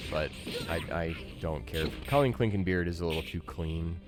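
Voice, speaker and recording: Male gaming streamer, thirties, low voice, conversational and opinionated, game music running clearly underneath. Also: normal speed. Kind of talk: casual gameplay commentary. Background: video game music and sound.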